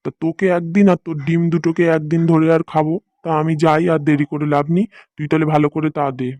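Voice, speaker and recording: A man's voice: on the high side, monotone, quick pace, a little mellow, dry recording, close to an everyday voice. A man voicing a hungry, gullible, bossy wolf in a cartoon, grudging and condescending. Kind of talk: cartoon voice acting.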